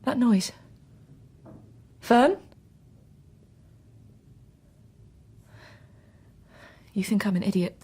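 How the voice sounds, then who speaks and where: An actress playing an anguished, bitter mother of a small girl, breathy and fraught, in a radio drama. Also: medium pitch, expressive, measured pace, warm tone. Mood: bitter, defensive. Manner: fraught, searching for words. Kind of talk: naturalistic radio drama acting.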